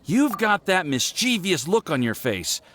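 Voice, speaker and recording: A man's voice: high-pitched, sing-song, measured pace, fairly bright tone, full character voice. A man voicing a nervous, fussy young alchemist apprentice in a video game, hesitant and sighing.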